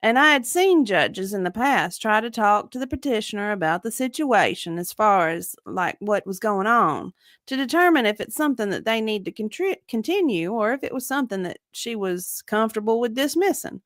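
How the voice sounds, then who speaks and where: A woman's voice: mid-range voice, animated, normal speed, a bit bright, no room sound, studio quality, close to an everyday voice. A woman reading the part of a guarded, evasive witness in a dramatic deposition reading, with careful, hedging answers. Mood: evasive, earnest. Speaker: dramatic reader.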